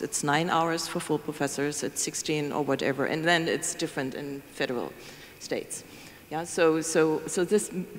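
Woman, fifties, deep voice, panel discussion, handheld mic, reverberant hall. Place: large conference room with audience. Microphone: handheld microphone. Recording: echoey hall with faint room noise.

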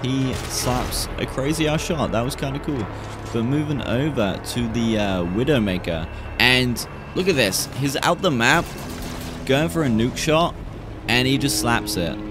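Young guy in his 20s rambling and hyping gameplay clips, studio mic in a small room, clear music playing under him. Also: mid-range voice, unhurried. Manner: relaxed, rambling, hype reactions. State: recovering from losing his voice. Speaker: gaming YouTuber. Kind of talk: casual gameplay commentary. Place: small room, gaming setup. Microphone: studio mic on boom arm, webcam.

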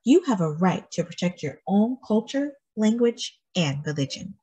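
Lively, breathy woman reading aloud. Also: mid-range voice, dry recording. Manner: slow, careful storybook reading with lively rises on key words. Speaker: children's book reader. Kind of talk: classroom read-aloud.

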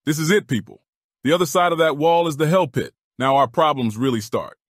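A man's voice: a little high, a little fast, dry recording, studio quality, lightly acted. A man playing a firm, decisive army colonel in an audiobook drama, giving orders with authority. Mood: commanding.

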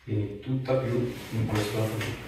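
A man in his thirties guides a patient through a hands-on exam with short questions and instructions. His voice is on the low side, heard at a distance in a very echoey room. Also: steady tone, moderate pace. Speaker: chiropractor. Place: small bare treatment room, indoors. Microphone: camera a few feet away. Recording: echoey room, distant mic, faint noise.

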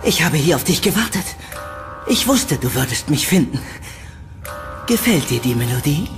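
A man's voice: a little high, big pitch swings, moderate pace, music in the background. An actor playing an eerie, lovesick young man, tender and sinister, in a horror audio drama.

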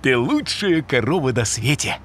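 A man voicing an excitable, loyal cartoon animal, with an emphatic, exclaiming delivery. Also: mid-range voice, moderate pace, slight background noise. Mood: puzzled, fond. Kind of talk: cartoon voice acting.

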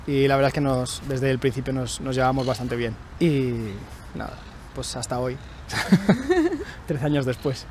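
A man's voice: medium pitch, fairly even intonation, a little slow, a little mellow, slight background noise. A man in his thirties from Madrid talking about his relationship in a home interview, hesitant with many restarts. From Madrid, Spain. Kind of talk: relaxed personal account. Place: living room at home, indoors.

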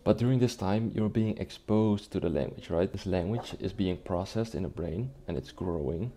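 A young Dutch-speaking man talks straight to the camera in a small, dim room, explaining things in a relaxed, chatty way. His voice sits fairly low and sounds soft and mellow, and he keeps checking in with the listener. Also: normal speed, a little hiss or hum. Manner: conversational, checks in with "right?" and "okay?". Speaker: language-learning YouTuber.

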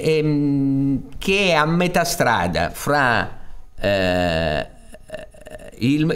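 A man in his sixties with a Sicilian accent, telling a folk tale dramatically on a theatre stage. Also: on the low side. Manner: dramatic, gesturing, with rhetorical questions. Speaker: storyteller and director. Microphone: studio mic on a stand.

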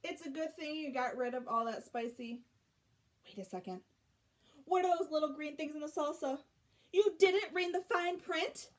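A young woman with a fairly high voice reads a picture book to children. She is expressive, stretching key words and pausing for dramatic effect. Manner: expressive, drawn-out emphasis with dramatic pauses. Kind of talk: animated children's picture-book read-aloud. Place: small bedroom at home.

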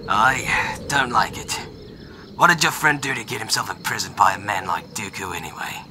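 A man playing a gruff, sardonic bounty hunter in a sci-fi audio drama. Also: lowish voice, moderate pace, noisy background, close to an everyday voice. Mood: suspicious. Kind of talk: fan audio drama acting.

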